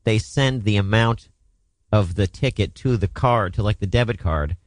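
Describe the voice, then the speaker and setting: A man's voice: lowish voice, normal speed, no room sound, clean studio sound. A man hosting a casual comedy podcast, playful and joking, laughing often. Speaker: podcast host.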